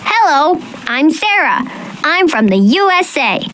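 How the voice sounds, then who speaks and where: A woman voicing a cheerful young schoolgirl in a scripted textbook dialogue, with a bright, lively delivery. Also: high-pitched, unhurried, slight background noise, exaggerated voice, rough recording. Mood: friendly.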